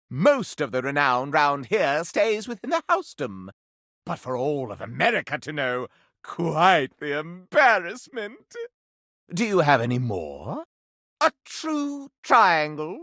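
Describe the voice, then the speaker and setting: A man's voice: high voice, pushed and bright, dry recording, studio quality, full character voice. A man voicing a flamboyant living candelabra in a video game, grandiose, florid and melodramatic, hungry for scandal. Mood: scandalized, delighted. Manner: grandiose, florid, melodramatic, relishing every word. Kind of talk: theatrical video game character acting.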